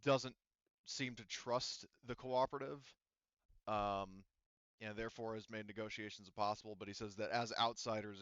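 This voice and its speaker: Low, smooth-voiced man running a game. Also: a bit bright, dry recording, highs cut off. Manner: hesitant, casual, relaying character speech indirectly. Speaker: game master. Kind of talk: improvised tabletop game narration.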